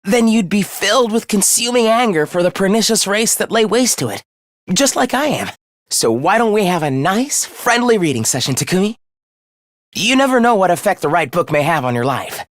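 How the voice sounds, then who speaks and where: A young video game villain who despises humankind. He speaks with formal, condescending politeness and quiet menace, his pitch swinging theatrically from low to high. The sound is very dry and close, free of any room. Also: very animated, normal speed, dry recording. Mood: polite, teasing. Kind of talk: English video game voice acting.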